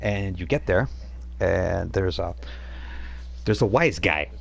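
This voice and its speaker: A man's voice: low-pitched, slow, vocal fry, slightly rough. A man running a tabletop game as game master, setting scenes in a loose, rambling way with casual asides.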